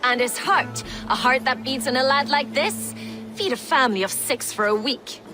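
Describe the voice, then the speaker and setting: A woman's voice: high voice, normal speed, music in the background, natural voice. An actress playing a cruel, mocking Viking woman raider who taunts with a sing-song delivery, in game audio.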